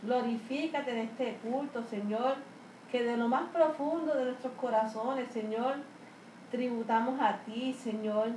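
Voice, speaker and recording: A woman's voice: a little high, steady tone, unhurried, a little mellow. A woman leading an extemporaneous, devotional church prayer in Spanish, fervently and repeatedly calling on the Lord. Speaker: congregation member leading the opening prayer. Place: church service. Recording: echoey room with faint background noise.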